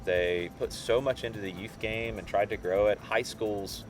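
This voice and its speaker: A man's voice: fairly low pitch, normal speed, slight background noise, poor audio. A man in an informal interview, explaining local soccer history in a conversational way.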